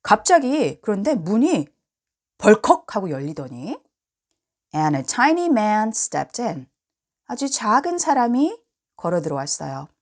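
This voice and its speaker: A woman reads a children's tale aloud. Her delivery is expressive and very unhurried, and her pitch moves up and down freely. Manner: expressive, deliberate storytelling. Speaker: storybook reader. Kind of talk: bilingual children's story read-aloud.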